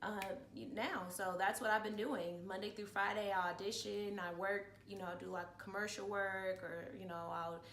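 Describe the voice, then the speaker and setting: A woman in her early thirties chats casually as an interview guest. Her voice has a medium pitch. It is recorded in an echoey room with faint background noise. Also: steady tone, normal speed, hardly any pauses, fairly bright tone. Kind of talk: casual personal chat.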